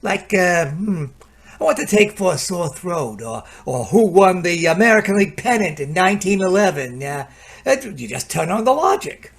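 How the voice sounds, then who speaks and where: A man's voice: high voice, very animated, normal speed, gravelly, husky, exaggerated voice. An actor playing a folksy, patient gadget salesman in a radio play, chatty and colloquial, hemming and hawing. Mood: confident explaining.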